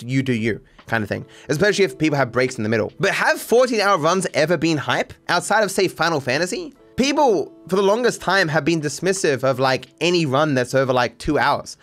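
Male streamer, 30s, slightly high voice, rambling and frank, faint music behind. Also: fairly bright tone. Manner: rambling, frank, swears casually. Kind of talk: casual commentary reading chat. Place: dark room indoors. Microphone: studio mic on boom arm, headphones.

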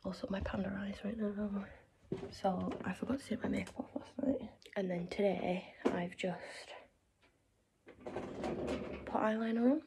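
A young woman talks into her phone in a loose, rambling vlog chat, her voice carrying a gravelly fry. She often drops to a near-whisper, and a clear hiss of noise sits under the phone recording. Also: mid-range voice. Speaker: vlogger. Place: home living room, indoors. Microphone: phone camera held close.

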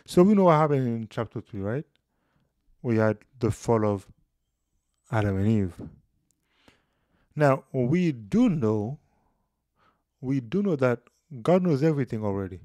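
Man, 30s, Bible teacher, casual explainer, mid pitch, dry booth sound. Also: unhurried, pauses often, dry recording. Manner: explanatory, animated hand gestures. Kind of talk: casual Bible study video. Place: small room indoors. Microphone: studio mic on boom, headphones.

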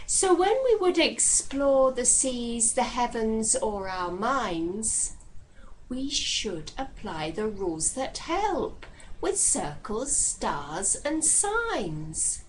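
A woman in her thirties reading poetry aloud in a deliberate, expressive way with dramatic pauses, recorded in a living room. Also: on the high side, poor audio. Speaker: poetry reader. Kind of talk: poetry recitation. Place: living room, seated in armchair. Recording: clear background room noise.

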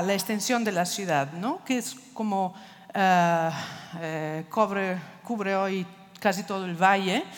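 A woman's voice: mid-range voice, long reverb tail. A middle-aged Italian woman with an Italian accent, giving a conference lecture, hesitant with many fillers while searching for words. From Italy. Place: large dark auditorium.

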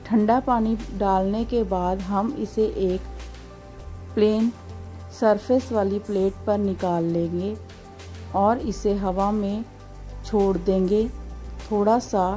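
A woman's voice: mid-range voice, warm tone. A woman hosting a cooking channel, giving a step-by-step recipe voice-over in short, instructive phrases.

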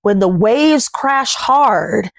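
Woman, thirties, children's read-aloud host, unhurried pace, slightly high voice, simple questioning phrasing. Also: expressive, creaky. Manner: simple, deliberate phrasing with frequent questions to the listener. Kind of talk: storybook read-aloud with commentary and questions to young listeners. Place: small furnished room at home.

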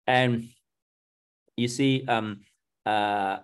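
A man's voice: medium pitch, steady tone, extremely slow, clean tone. A Nigerian man in his thirties leading a book club on a video call, talking casually with lots of ums and you knows. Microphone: video call webcam.

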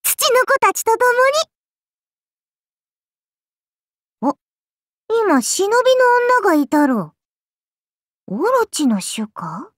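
A woman's voice: high voice, wildly swooping pitch, measured pace, dry recording, clean studio sound, exaggerated voice. A woman playing a childlike, playful serpent-god oni in an anime game, speaking in a haughty, archaic lordly manner with indulgent teasing. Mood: amused, intrigued. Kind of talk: anime game character voice lines.

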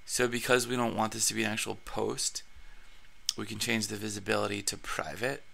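A man hosting a screen-recorded blogging tutorial, his voice raspy from allergies, talking casually with plenty of fillers and restarts. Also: fairly low pitch, level tone, a little fast, pushed and bright.

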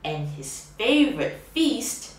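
A woman's voice: fairly high pitch, sing-song, slow pace. A woman voicing a small, clever mouse who is polite and bold, in an echoey room from a distant mic. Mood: cheeky.

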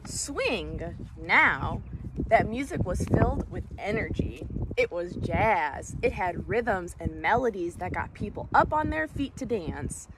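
Young female storytime reader, animated intonation at a slow pace, recorded outside for a children's book read-aloud. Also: medium pitch. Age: young adult. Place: outdoors in woods by a creek. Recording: clear outdoor background noise, clicks.

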